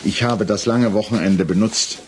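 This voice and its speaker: An actor playing a grave, methodical magistrate in a 1960s German radio play, questioning formally and precisely. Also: male voice, on the low side, normal speed, noisy background, lightly acted. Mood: measured, insistent. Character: grave, methodical examining magistrate, courteous but insistent. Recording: mono 1960s radio recording.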